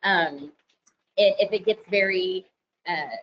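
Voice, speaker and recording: Woman, loud voice, webinar presenter, conversational explainer, fillers and self-corrections, slightly dull audio. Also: mid-range voice, takes it slowly, a little hiss or hum. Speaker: company lawyer presenting a compliance webinar. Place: office at company headquarters, heavy rain outside.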